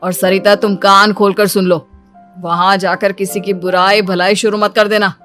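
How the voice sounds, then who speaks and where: A stern young husband in a domestic story, acted by a woman storyteller who drops her pitch. He sounds fed up and commanding as he scolds. Music plays underneath. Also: little pitch movement, normal speed, fairly bright tone, natural voice.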